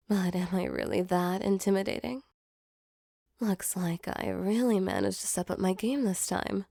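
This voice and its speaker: A woman plays a female sleep paralysis demon in an intimate audio roleplay. Her voice is soft and mid-pitched with a noticeable fry. She is a teasing monster with a tender, apologetic side, heard up close in a very dry space. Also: no echo. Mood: taunting, menacing.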